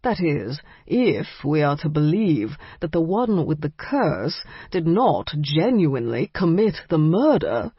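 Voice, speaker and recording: A disdainful male vampire aristocrat with a British accent sneers out formal courtesies, as a woman reading a story puts him on. The voice is husky and pitched a little low, and he speaks with measured contempt. The recording is dull and dry, with no room around it. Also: very animated, no echo, exaggerated voice. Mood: haughty.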